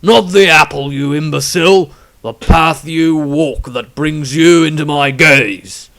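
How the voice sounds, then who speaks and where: A cold, intimidating witch hunter acted out by a male narrator for a fantasy audio story. He speaks slowly and deliberately, with a heavy gravelly creak in his voice. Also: on the high side, a bit bright, pitched above the performer's own voice. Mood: irritated. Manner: slow, deliberate, intimidating delivery.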